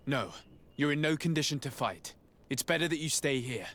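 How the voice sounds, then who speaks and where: An actor playing a composed, decisive diplomatic envoy in a video game, speaking in a formal, measured way. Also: male voice, a little high, expressive, fairly bright tone. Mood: composed, firm. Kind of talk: scripted video game acting.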